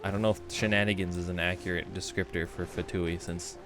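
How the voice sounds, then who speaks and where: A man's voice: low-pitched, level tone, fairly quick, over music. A young man doing casual livestream game commentary and reading text aloud, slangy and musing. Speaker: game streamer.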